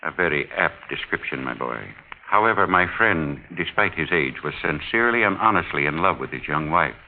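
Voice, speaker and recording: Wealthy older gentleman, 1940s radio mystery: very low, measured, quietly menacing, genteel. Muffled, lightly noisy vintage recording. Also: lively intonation. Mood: insinuating. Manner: measured, formal, insinuating, falsely genial. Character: wealthy, older husband, suave, quietly menacing mining engineer.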